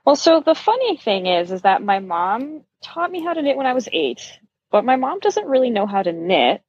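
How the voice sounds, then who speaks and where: A woman's voice: sits high, sing-song, normal speed, a little mellow, slightly rough, no room sound, dull audio. A middle-aged woman from Brazil being interviewed, telling personal stories in a candid, self-deprecating, conversational way. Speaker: interview guest, yarn shop owner.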